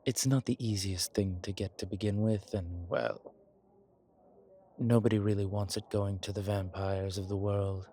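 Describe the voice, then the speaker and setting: A man playing a suave, grateful old vampire in a roleplay audio, speaking formally with pauses and quiet amusement. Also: on the low side, fairly even intonation, breathy edge. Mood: wry, confiding. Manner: genteel, formal, hesitant pauses, soft chuckles. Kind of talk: intimate one-sided roleplay audio drama.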